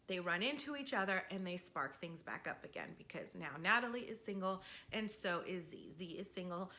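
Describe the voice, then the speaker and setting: Bright-voiced, sassy woman storyteller. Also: fairly low pitch, normal speed. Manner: animated, sassy, slangy with frequent swearing; checks in with "right?" and "you know". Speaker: storytime video host. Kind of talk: casual, gossipy storytelling.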